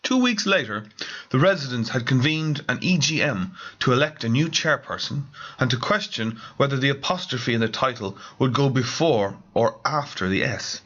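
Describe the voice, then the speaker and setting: A man reading scripted comic narration with formal, theatrical deadpan. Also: medium pitch, normal speed, dull audio. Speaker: author reading own story.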